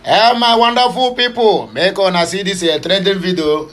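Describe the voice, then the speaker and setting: A man's voice: high-pitched, animated, measured pace, fairly bright tone. A man from southeastern Nigeria with a Nigerian Pidgin accent, giving passionate, opinionated news commentary. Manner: passionate, switching between Pidgin, English and Igbo.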